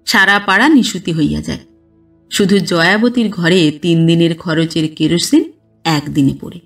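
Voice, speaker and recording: A woman's voice: on the low side, normal speed, creaky. Woman narrating a story over music, slightly distant. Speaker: audiobook narrator. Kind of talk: scripted literary story narration. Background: background music.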